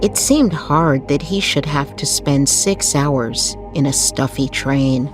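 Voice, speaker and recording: A woman with a low voice narrates a bedtime story. She takes her time, phrasing each line in a measured, soothing way. Music plays clearly behind her.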